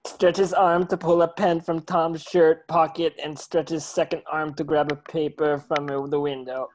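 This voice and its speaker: A man's voice: on the high side, level tone, a little slow. A man reading stage directions as the narrator of a table reading, occasionally stumbling over the script.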